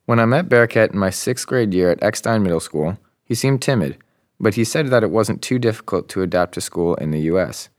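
A young man co-hosting a student podcast, reading his introduction and narration from a script in an even way. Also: fairly low pitch, fairly quick, no room sound, studio quality.

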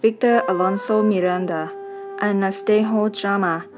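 Woman reading out a credits list, steady and formal, faint music under it. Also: mid-range voice, normal speed. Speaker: announcer. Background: faint instrumental music.

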